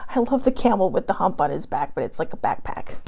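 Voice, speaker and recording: A bubbly woman raised in Hawaii talks casually from home, giggling and rambling. Her pitch swings up and down a lot, and a gravelly fry runs under her words. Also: sing-song, quick pace, warm tone. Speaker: vlogger doing a card reading. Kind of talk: casual livestream chat.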